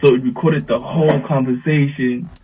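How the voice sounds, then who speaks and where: A man's voice: mid-range voice, moderate pace, husky. A young South African man chatting casually in slangy storytelling style, acting out bits of dialogue, over a video call. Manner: slangy, animated retelling with quoted dialogue. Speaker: music artist, interview guest. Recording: thin phone-like video call, distant.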